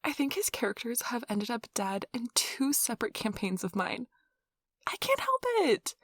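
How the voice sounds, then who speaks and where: A woman playing a nerdy, affectionate girlfriend in an ASMR roleplay, rambling softly and casually in a gushing, breathy voice. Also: a little high, big pitch swings, normal speed, no echo, clean studio sound. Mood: playful, animated. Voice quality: soft, breathy, slightly husky. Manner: gushing, casual, giggly, chatty. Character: nerdy affectionate girlfriend, excitedly rambling about tabletop gaming. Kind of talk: soft-spoken ASMR roleplay, intimate rambling. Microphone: close microphone, dry.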